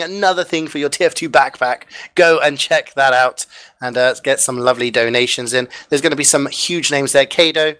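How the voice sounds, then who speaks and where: A man casting an online game match live, chatty and upbeat with frequent fillers, a bit hoarse as he loses his voice. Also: fairly high pitch, bright, forceful tone. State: losing his voice. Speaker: esports caster. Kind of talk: live esports commentary and sign-off.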